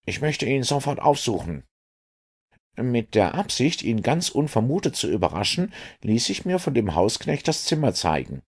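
A man reading an audiobook, voicing an agitated police inspector in a husky, animated voice. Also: mid-range voice, pitched above the performer's own voice, heavily acted. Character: gruff, agitated middle-aged male police inspector.

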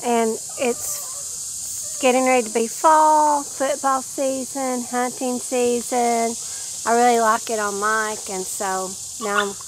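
Casual female vlog co-host, slightly high voice, outdoor recording with clear insect noise. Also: expressive, slow, poor audio. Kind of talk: casual chat. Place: outdoors at a park campground.

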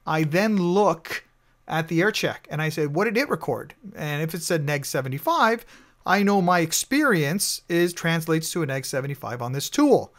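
Man, higher voice, conversational explainer, voice-over, casual fillers. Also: a bit bright. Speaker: network engineer reviewing a tool. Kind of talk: screen-recorded slide walkthrough. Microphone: voice-over over slides.

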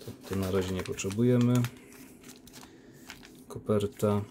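Man, fairly low voice, relaxed and chatty, phone recording, crinkling packaging faintly behind. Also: measured pace, background noise. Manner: relaxed, chatty, with many diminutives and fillers. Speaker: coin collector unboxing silver. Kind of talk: casual unboxing commentary. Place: indoor room by a window. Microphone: phone camera.

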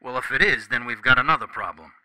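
An actor playing a police officer who brings bad news in a blunt, serious way. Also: male voice, mid-range voice, quick pace, pushed and bright, no echo, low-quality recording. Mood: grave.

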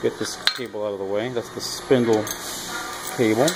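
A middle-aged man casually explaining a machine hands-on in short, halting phrases, recorded on a handheld camera in a workshop. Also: fairly low pitch, steady tone. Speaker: workshop machine builder and video host. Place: large indoor metal workshop. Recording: clear shop noise on handheld camera audio.

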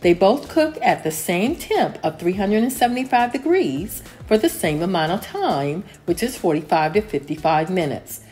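A woman narrating a home cleaning vlog as a calm, scripted voice-over, steady and homey, with lines that fall at the end. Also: mid-range voice, normal speed, few pauses, faint music behind. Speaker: cleaning vlogger.

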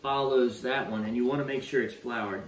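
Young guy demoing dough in a home kitchen, steady coaching tone, recorded from across the room with faint hiss. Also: medium pitch, moderate pace. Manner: encouraging, steady coaching with frequent "yep, perfect". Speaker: pizza maker teaching dough stretching. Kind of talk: casual cooking demonstration. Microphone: camera mic from across the counter. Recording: echoey kitchen, voice a bit far off, faint room noise.

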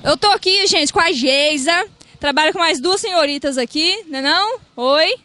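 A woman's voice: sits high, expressive, moderate pace, bright, forceful tone, no room sound. A woman, doing street interviews with a handheld mic at an outdoor night market, asking pointed questions. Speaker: street reporter.

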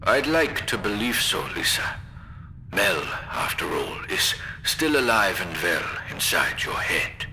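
A creepy calm shrink, super deep, slow, sharp-edged tone, horror radio play. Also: male voice, vocal fry, a little hiss or hum, exaggerated voice, poor audio. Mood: coaxing. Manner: measured, coaxing clinical questions. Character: calm eccentric male psychiatrist, probing. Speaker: doctor. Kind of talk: horror audio drama acting.

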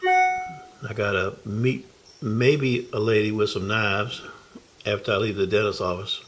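A man's voice: fairly low pitch, unhurried, a bit bright. A middle-aged man, chatting folksily in a casual video diary from his car and home, recorded on a handheld camera. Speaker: knife sharpener vlogger.

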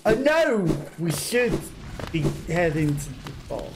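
A man's voice: very animated, slow, noisy background. A middle-aged man giving casual gameplay commentary as a let's play host, musing aloud in short, broken phrases. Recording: loud game music and effects behind voice. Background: game music and rumbling effects.

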